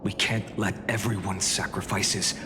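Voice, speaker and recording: A man playing a resolute young fantasy hero in a game, speaking earnestly and gravely. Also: on the low side, steady tone, measured pace, background noise, close to an everyday voice. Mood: solemn resolve. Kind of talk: scripted game voice acting. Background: music.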